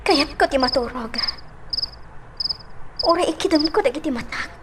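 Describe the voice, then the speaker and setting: A woman's voice: sits high, normal speed, heavy background noise, natural voice. A woman acting a worried, sleepless woman in an Ilocano radio drama. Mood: anxious, weary.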